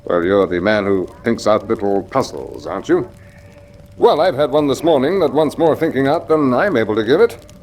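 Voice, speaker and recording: A man's voice: medium pitch, expressive, moderate pace, darkish tone, slight background noise, lightly acted. A male actor playing a forthright, easygoing baronet of about thirty in a radio drama, talking informally. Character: Sir Henry, plain-spoken, easygoing young baronet of about thirty.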